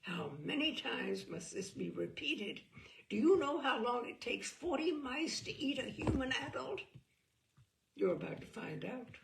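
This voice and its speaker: A woman reading a book aloud as a storyteller, theatrical and expressive, with a wry, arch delivery. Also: on the high side, a little slow, gravelly, a little hiss or hum.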